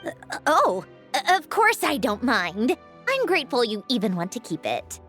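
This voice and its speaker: A woman's voice: a little high, huge pitch swings, moderate pace, a bit bright, soft background music. A woman voicing a prickly, dry-witted young woman in a game, curt and sometimes flustered. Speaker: game character.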